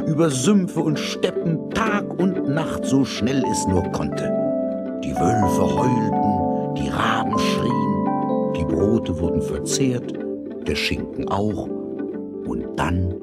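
A man narrating a fairy tale in a measured, dramatic way, with a husky, breathy voice. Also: lowish voice.

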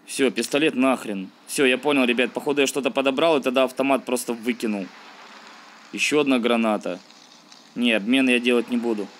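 Guy doing a lets play, medium pitched voice, slangy, mutters his thoughts and grumbles, bit of game noise behind. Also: fairly even intonation, fairly quick, fairly bright tone. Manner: slangy, thinking aloud, grumbling. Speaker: gaming video host. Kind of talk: casual let's-play commentary.

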